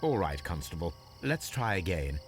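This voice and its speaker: An actor playing a formal, precise gentleman detective with a British accent in a video game. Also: sits low, sing-song, moderate pace, over music. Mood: instructing. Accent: British English. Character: brisk, commanding male gentleman detective.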